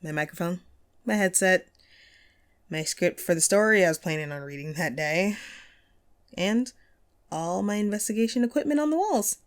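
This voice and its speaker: Girl acting as a chatty lady ghost radio host, kinda low voice, playful n self-deprecating, bit wistful, audio roleplay. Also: animated, normal speed, pauses often, natural voice. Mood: chatty, wry. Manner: playful, conversational, self-deprecating, breaks into chuckles. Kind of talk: intimate scripted audio roleplay.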